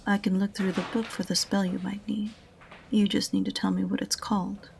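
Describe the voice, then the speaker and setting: A woman playing an unenthusiastic, sarcastic witch librarian in an audio roleplay, speaking softly and breathily with a condescending, exasperated manner. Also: medium pitch, flat delivery, brisk, vocal fry, a little hiss or hum. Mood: dry, reluctant. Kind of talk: audio roleplay acting addressed to the listener.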